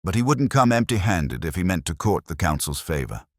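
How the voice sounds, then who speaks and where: A man voicing a shrewd middle-aged male police inspector who speaks quietly and deliberately, with a husky voice. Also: deep voice, sing-song, no room sound, studio quality. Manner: quiet, measured, probing. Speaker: detective inspector.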